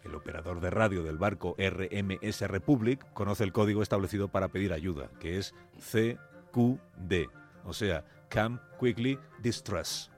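A man narrates a dramatic radio story in a low voice with a Castilian Spanish accent. His delivery is scripted and deliberate, building suspense phrase by phrase. Faint music plays behind him.

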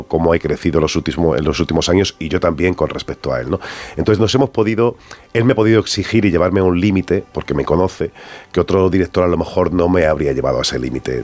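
A man in his fifties with an Andalusian accent talks as a guest on a radio show. His voice sits very low, and he speaks quickly. He is loose and reflective, often adding fillers and tag questions. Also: a little mellow. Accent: Andalusian Spanish. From Andalusia, Spain. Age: 50s. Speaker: actor guest. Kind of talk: radio interview.

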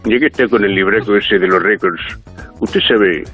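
A man's voice: fairly low pitch, moderate pace, fairly bright tone, slightly rough. A man playing a brash, aggrieved woman phoning a radio comedy show, complaining bluntly down a muffled line. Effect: phone line. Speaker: comic caller. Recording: muffled phone-style line, music behind.

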